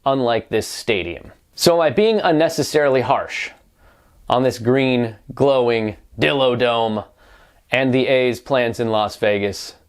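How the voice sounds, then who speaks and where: A man's voice: medium pitch, a little slow, clear, resonant tone. A man delivering opinionated sports news commentary as a reporter, wry and pointed, often posing rhetorical questions.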